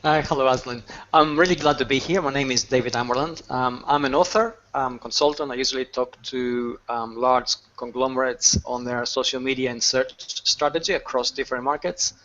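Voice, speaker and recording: A man's voice: mid-range voice, steady tone, fairly quick, hardly any pauses, a bit bright, muffled recording. A man, an author and consultant, introducing himself politely on a video call. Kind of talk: casual chat on a video call.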